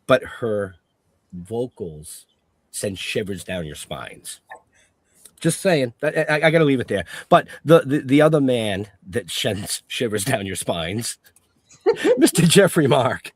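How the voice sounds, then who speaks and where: A man's voice: medium pitch, very animated, slow pace, husky, no room sound. A man hosting a casual online talk show, opening with hype and laughing often between lines. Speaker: podcast host. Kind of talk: casual chat.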